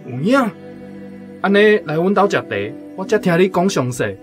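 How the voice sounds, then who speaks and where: A sociable country uncle in a Taiwanese radio play. His voice sits a little high, a man's rustic Taiwanese speech over quiet music. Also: animated, normal speed. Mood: friendly, curious. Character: friendly village man, the uncle hosting an old friend. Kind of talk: radio drama acting.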